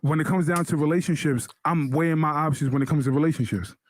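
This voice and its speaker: A man's voice: on the high side, fast talker. Insistent man in his thirties. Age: 30s. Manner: insistent, repeats himself when pressed. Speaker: podcast co-host. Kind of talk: heated casual debate.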